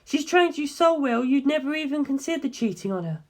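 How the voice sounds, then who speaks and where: A woman acts out a young woman from an audiobook story, high in pitch and sure of herself. She talks at length, assertive and knowing, with a teasing edge. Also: animated, brisk. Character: Darlington, sharp teasing young English woman. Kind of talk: dramatic audiobook character dialogue.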